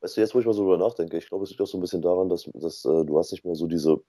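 A man's voice: low-pitched, very fast, warm tone, no echo, studio quality. A man musing colloquially in a casual podcast discussion.

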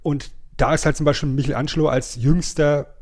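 A man's voice: on the high side, fairly even intonation, fairly quick, darkish tone. A middle-aged man, co-hosting a podcast in an informal, explanatory way. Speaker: podcast co-host. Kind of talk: casual podcast chat.

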